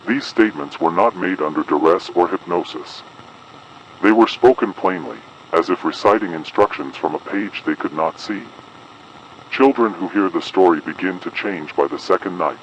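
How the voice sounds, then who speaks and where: Guy doing a really deep official emergency broadcast man, creepy analog horror, formal n measured, bit of noise on it. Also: low-quality recording. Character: grave official announcer of an ominous emergency advisory. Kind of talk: scripted analog horror public broadcast narration.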